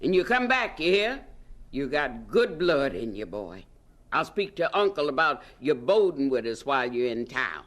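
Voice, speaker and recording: An actress playing a bossy, proud older aunt from the American South, folksy and scolding, in a radio drama. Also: female voice, very deep voice, sing-song, moderate pace, full character voice. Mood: proud, fond.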